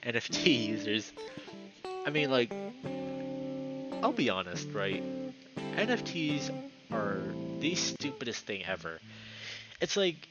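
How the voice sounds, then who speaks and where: A man's voice: medium pitch, huge pitch swings, slow pace, long pauses, lo-fi sound. A man streaming a visual novel, chatting casually and reading the narration aloud. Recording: loud music behind the voice.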